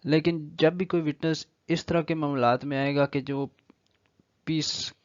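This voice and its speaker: A man with a Pakistani accent giving an explanatory law lecture, deliberately reading legal text, then repeating and rephrasing each point. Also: a little high, fairly even intonation. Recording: echoey room, dull lo-fi audio.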